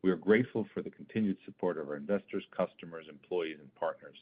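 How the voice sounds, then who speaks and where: A man's voice: low voice, fast, slightly rough. A man delivering scripted earnings call remarks as a chief executive, in a formal, emphatic corporate style. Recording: thin phone-like conference call line.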